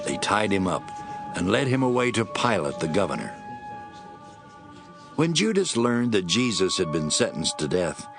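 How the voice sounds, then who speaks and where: A man's voice: sits low, sing-song, moderate pace, pauses often, over music. A man narrating scripture as a scripted dramatic reading.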